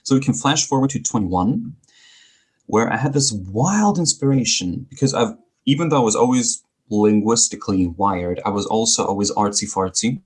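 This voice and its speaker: A man's voice: fairly low pitch, expressive, normal speed, darkish tone, husky. A man who is a native speaker of Greek and German, talking articulately and wryly as a coach being interviewed. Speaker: podcast guest, speaking coach. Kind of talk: conversational interview.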